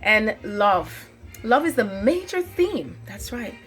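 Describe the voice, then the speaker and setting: A woman's voice: fairly high pitch, very animated, slow, a bit bright, soft background music. A woman talking straight to her viewers in a spiritual monologue, stating her claims with emphasis, often repeating phrases and stopping on fillers. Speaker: channel host.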